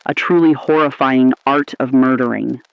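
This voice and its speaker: Man doing an ominous 50s radio anchor, medium pitch, deliberate, theatrical, dull close dry sound. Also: steady tone, gravelly, smooth, dry recording, close to an everyday voice. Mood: grave, appalled. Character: ominous 1950s male radio anchor, gravely appalled. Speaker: radio host. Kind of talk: scripted 1950s-style radio dialogue.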